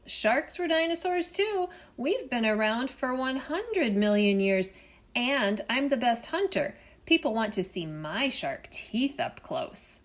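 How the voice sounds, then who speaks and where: A woman's voice: big pitch swings, slow. A woman voicing a shark who boasts of being the best hunter, in a read-aloud storybook. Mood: boastful. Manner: bragging, high and loud.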